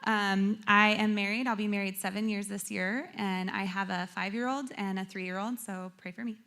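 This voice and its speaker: A woman's voice: medium pitch, fairly even intonation, normal speed, a bit bright. A woman in her thirties speaking as a panel guest, playful and rambling, with plenty of fillers. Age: 30s. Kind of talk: casual self-introduction and answer.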